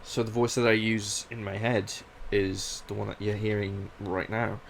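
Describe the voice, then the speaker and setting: Candid man with a gravelly voice. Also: lowish voice, flat delivery, normal speed, slight background noise. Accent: British mixed with Canadian. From Canada. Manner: candid, rambling, self-deprecating. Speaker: podcast host. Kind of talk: casual personal monologue.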